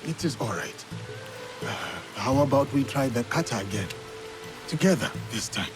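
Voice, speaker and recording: A man playing a calm, honourable samurai warrior in a video game, speaking in a measured, formal and gentle way. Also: medium pitch, music in the background, natural voice. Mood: gentle, reflective. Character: calm, grave, honourable male samurai warrior, mentor to a boy. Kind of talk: video game cutscene acting.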